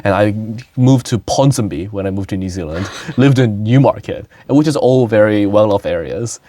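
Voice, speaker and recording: A man's voice: fairly low pitch, lively intonation, moderate pace, mellow, dry recording. A young man from South Korea, hosting a casual talk, who laughs often and talks with many fillers and restarts. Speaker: podcast host. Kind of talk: casual conversation.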